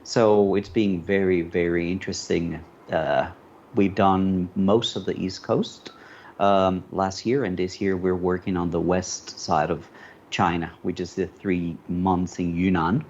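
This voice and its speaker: A middle-aged man from Colombia, a native Spanish speaker, telling his story in a relaxed, rambling way with many fillers. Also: deep voice, a little slow. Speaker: panel guest, media commentator. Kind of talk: casual conversational storytelling. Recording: dull lo-fi call audio with faint noise.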